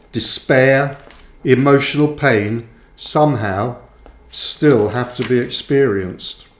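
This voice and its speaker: A man narrates a book in a slow, measured way, placing each phrase deliberately. His voice sits at an average male pitch. The room around him adds a clear echo to the reading. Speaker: author reading own book aloud. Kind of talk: audiobook-style scripted narration. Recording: roomy, echoing sound.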